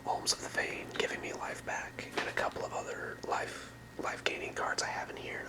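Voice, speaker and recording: A person whispering in ASMR style, explaining things softly and without hurry as a creator presenting to viewers. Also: poor audio. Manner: soft, unhurried explaining in whispers. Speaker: ASMR creator presenting a trading card deck.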